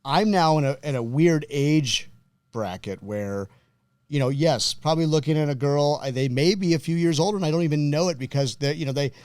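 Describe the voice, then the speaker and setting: Halting rambling man in his forties. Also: on the high side, darkish tone, no room sound. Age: 40s. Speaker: podcast host. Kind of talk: casual banter over drinks.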